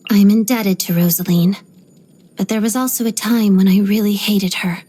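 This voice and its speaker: An actress voicing a reserved, stoic young ballerina in a video game, speaking softly and breathily in a measured, restrained way. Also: female voice, mid-range voice, fairly even intonation, slightly rough, close to an everyday voice. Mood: wistful, bitter. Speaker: video game character voice actor. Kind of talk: scripted game voice lines, introspective monologue.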